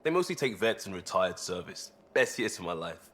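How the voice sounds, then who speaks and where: A man's voice: sits low, big pitch swings, moderate pace, fairly bright tone. A man playing an easygoing, earnest crisis responder and former cop in a video game, talking in a casual, blunt, wry way. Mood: candid, proud. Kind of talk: video game cutscene dialogue.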